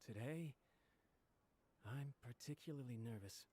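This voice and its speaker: A man's voice: mid-range voice, measured pace, dark tone, husky. A man voicing an anxious, deferential young retainer in a video game, polite, nervous and apologetic. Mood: worried. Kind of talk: video game drama dialogue.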